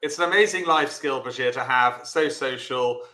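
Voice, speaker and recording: A loud, chatty man teaches a live online bridge class, talking learners through each step in an encouraging way. His voice is bright and forceful. The room sounds echoey. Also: medium pitch, normal speed.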